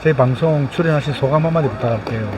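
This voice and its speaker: A man's voice: mid-range voice, fast, crowd noise, low-quality recording. A man interviewing a guest in a chatty, teasing way. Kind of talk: casual interview. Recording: voice with loud music behind.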